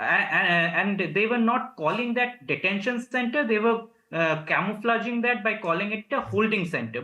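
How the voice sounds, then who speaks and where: A man with an Indian accent explaining formally and haltingly as an advocate in a news interview. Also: sits high, sharp tone, roomy sound, poor audio. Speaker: lawyer and human rights advocate being interviewed.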